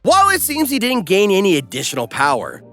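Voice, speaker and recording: A man narrates a comedic recap from a script. His delivery is wisecracking and animated, with a bright, forceful tone and lively swings in pitch over faint music. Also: brisk. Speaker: narrator, host.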